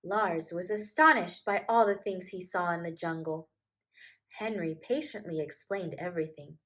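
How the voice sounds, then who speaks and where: A woman teacher reading a picture book aloud to young children in an expressive, emphatic, deliberate way. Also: fairly low pitch. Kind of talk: animated children's storybook narration.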